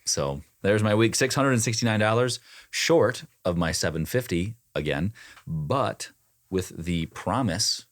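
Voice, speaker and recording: A young man with a very low voice, recorded close and dry with no room sound. He chats casually on a podcast and jokes and teases his co-host. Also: animated, a little slow, no room sound. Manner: joking, teasing. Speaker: voice-over talent, podcast co-host. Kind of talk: casual chat.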